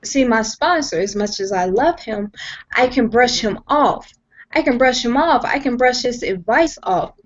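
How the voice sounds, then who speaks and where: A woman's voice: fairly high pitch, animated, measured pace. A young woman at home on a video call, telling her story in an earnest, emphatic way, often repeating herself and restarting sentences. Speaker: guest sharing her story. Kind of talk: personal testimony on a video call. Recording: dull lo-fi video call audio.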